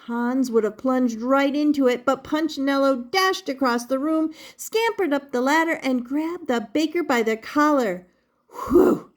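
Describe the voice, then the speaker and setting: An older woman reading a children's story expressively, in a deliberate manner suited to young listeners. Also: high-pitched. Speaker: storybook reader.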